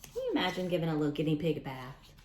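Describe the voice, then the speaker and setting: A woman reading a picture book aloud to young children in an expressive way, adding chatty asides directly to her listeners. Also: deep voice, racing, reverberant, a little hiss or hum.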